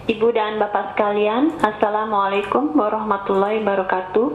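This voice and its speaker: A woman's voice: mid-range voice, fairly even intonation, fairly quick. A woman with a cough giving a patient, explanatory webinar presentation over a remote call. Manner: patient, explanatory, addresses audience politely. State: has a cough. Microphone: remote call mic. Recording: tinny, echoey online call audio.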